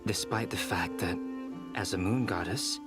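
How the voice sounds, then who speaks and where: An actor playing a calm, scholarly male investigator in a video game, speaking in a measured, precise, erudite way. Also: deep voice, music in the background. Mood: analytical, absorbed. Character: calm scholarly man, meticulous analytical investigator. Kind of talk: scripted video game dialogue.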